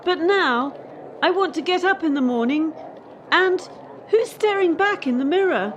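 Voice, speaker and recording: A woman's voice: very high voice, animated, measured pace, lo-fi sound. A woman acting in a radio play as a bitter, defiant young elite gymnast under pressure. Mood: solemn, resolute.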